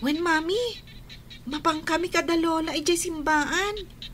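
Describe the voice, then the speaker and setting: Very high-pitched little girl. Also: a bit bright, slight background noise. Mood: earnest, pleading. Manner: childlike, slow, earnest delivery. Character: young girl, devoted sister, earnest and pleading. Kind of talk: Ilocano radio drama acting.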